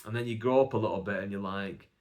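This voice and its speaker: Young Bolton comic, solo podcast host: rambling, self-deprecating, slightly low register. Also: male voice, fast, darkish tone. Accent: Northern English, Bolton. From England. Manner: chatty, rambling, self-mocking, many "like" fillers. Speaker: podcast host and stand-up comedian. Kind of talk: casual solo podcast monologue.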